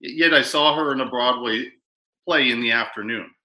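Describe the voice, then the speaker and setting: A man hosting a casual podcast chat about film awards, talking in halting bursts full of restarts and repeated words. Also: mid-range voice, sharp tone, echoey room, rough recording. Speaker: podcast host.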